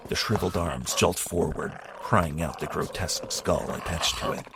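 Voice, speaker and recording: Deep-voiced male drama narrator. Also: moderate pace, background noise. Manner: steady, descriptive storytelling. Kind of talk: second-person scripted narration of an audio drama.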